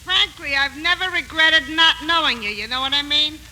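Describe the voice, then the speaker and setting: An actress playing a brassy, deadpan twenty-year-old fan club president with a flippant, drawling manner in a 1940s radio comedy. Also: female voice, sits high, normal speed, close to an everyday voice. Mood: snooty. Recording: bright, lightly noisy 1940s radio recording.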